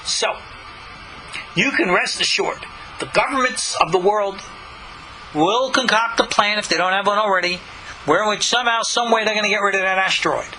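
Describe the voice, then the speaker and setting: A man's voice: high-pitched, moderate pace, sharp tone, a little hiss or hum, rough recording. A man, a rabbi, teaching a text in a conversational lecture style, full of rhetorical questions and asides.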